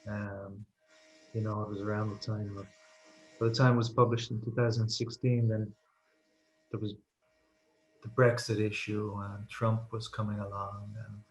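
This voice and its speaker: A man, a translator and writer, answering interview questions in a thoughtful, halting way, often repeating words as he searches for them. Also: fairly low pitch, little pitch movement. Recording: echoey room.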